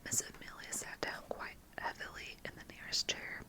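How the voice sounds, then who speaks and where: A narrator reading a classic children's novel aloud in a soft, steady whisper. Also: normal speed. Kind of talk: whispered ASMR story reading.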